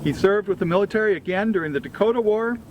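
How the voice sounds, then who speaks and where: A man with a slightly high voice gives a formal memorial reading in a measured, phrase-by-phrase way. He is recorded outdoors, with clear ambient noise and vehicles behind him. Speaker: reader at a historical commemoration. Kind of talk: formal scripted tribute reading. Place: outdoors at a cemetery gathering.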